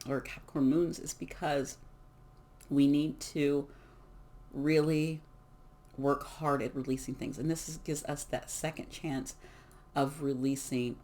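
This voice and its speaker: A card reader giving a casual collective reading, hoarse from losing their voice, talking in an emphatic, encouraging way with many fillers. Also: mid-range voice, a little mellow. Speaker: tarot and oracle card reader.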